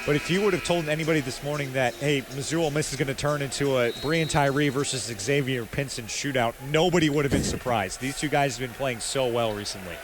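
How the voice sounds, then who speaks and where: A man's voice: mid-range voice, brisk, hardly any pauses. An American man giving live color commentary and analysis from a noisy arena broadcast. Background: arena ambience.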